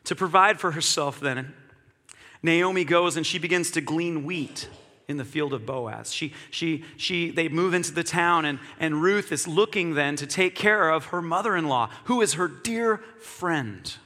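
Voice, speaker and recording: A man's voice: fairly high pitch, a little slow, pushed and bright, big-room sound. A man preaching a sermon in an earnest, reflective way, repeating key phrases.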